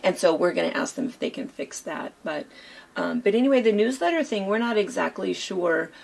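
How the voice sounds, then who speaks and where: A hoarse woman co-hosting a quilt shop chat, rambling casually with lots of fillers. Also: mid-range voice, expressive, brisk, darkish tone. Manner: chatty, rambling with many fillers.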